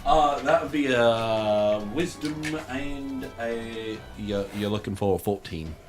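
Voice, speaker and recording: A man speaks at a slow pace and medium pitch as a player in a tabletop game. His talk is casual, playful and hesitant, with bits of slang. Music plays faintly in the background.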